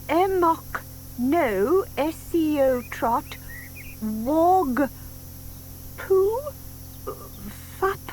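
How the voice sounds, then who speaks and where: A sweet older lady, with a high voice that rises and falls freely. She is hesitant, fluttery and trusting, unhurried, in a children's audio play on faintly hissy tape. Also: darkish tone, heavily acted, rough recording. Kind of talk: dramatised children's audiobook acting. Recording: faint hiss from old cassette tape.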